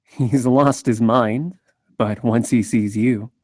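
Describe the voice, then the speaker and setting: A man's voice: mid-range voice, steady tone, slow pace, darkish tone, no room sound, studio quality. A man at a script read playing an earnest, lovestruck man who speaks in terse, insistent lines. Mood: urgent. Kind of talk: screenplay table read acting.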